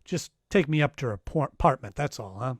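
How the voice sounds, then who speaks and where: Guy playing a wry private eye, radio noir, medium pitch, real dry close sound, deadpan wisecracks. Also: brisk, slightly rough, dry recording. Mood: wry, unflappable. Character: wry, unflappable male private detective and narrator. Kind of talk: radio detective drama, first-person noir narration.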